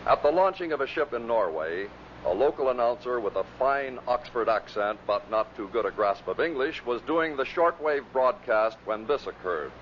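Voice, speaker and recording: A man's voice: mid-range voice, expressive, moderate pace. A man giving scripted narration as the presenter of a compilation. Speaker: narrator introducing radio bloopers. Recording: dull vintage recording, faint noise.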